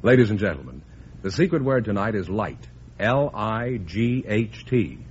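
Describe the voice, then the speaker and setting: A man announcing on a radio quiz show, reading sponsor copy and introducing the guests in a booming, showy way. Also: sing-song, moderate pace, a little hiss or hum. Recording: dull vintage radio recording.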